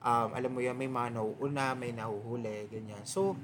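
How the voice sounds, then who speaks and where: A man's voice: medium pitch, normal speed. Chatty Filipino young man, noisy recording. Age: 20s. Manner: chatty, mixes English and Tagalog, ends phrases with "diba?". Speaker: podcast host. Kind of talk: casual reflective monologue.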